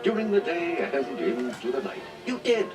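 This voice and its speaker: A man narrates a children's storybook record in a sing-song, very animated way, his pitch leaping all over. He sounds set back from the microphone on an old archival recording, with clear music playing under him. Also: moderate pace, far from the mic. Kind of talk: scripted read-along narration with character voices.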